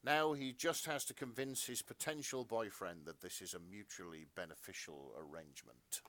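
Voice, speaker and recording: A man's voice: on the low side, moderate pace, pushed and bright, no echo. A man co-hosting a podcast, narrating fan fiction melodramatically with casual asides.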